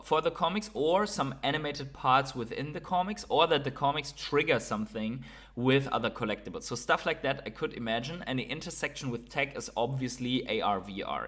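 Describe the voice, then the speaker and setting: A man's voice: medium pitch, a bit bright. A man hosting a solo video commentary, talking informally and explaining his opinions, often checking in with short tag questions. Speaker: host of a crypto and digital collectibles video channel. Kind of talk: informal solo commentary, speculating and reading out posts.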